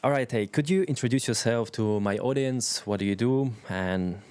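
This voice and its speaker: A man's voice: mid-range voice, moderate pace, darkish tone, clear, resonant tone, no echo. A man hosting a podcast, easygoing, laughing often and asking short questions. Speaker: podcast host. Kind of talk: casual interview.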